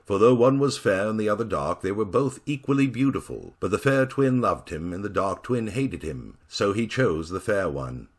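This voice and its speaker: A man reads a grim old tale aloud in a theatrical storytelling style. His voice is very low and husky, and it rises and falls with lively drama. He savours the gruesome turns with dark relish. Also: moderate pace, few pauses. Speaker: narrator.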